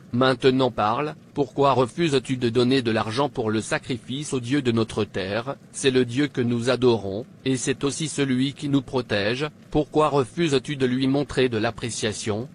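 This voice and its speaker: A man's voice: medium pitch, slight background noise, close to an everyday voice. A man playing an arrogant, tyrannical king in a dubbed cartoon, speaking in an imperious, boastful manner. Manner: imperious, boastful, indignant questioning. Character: arrogant, tyrannical pagan king demanding obedience.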